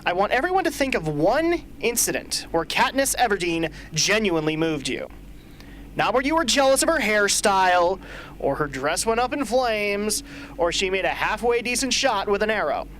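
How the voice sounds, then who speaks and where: A woman playing a brisk, sardonic rebel strategist in an amateur radio drama, speaking emphatically. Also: medium pitch, very animated, a bit bright, a little hiss or hum. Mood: brisk, commanding.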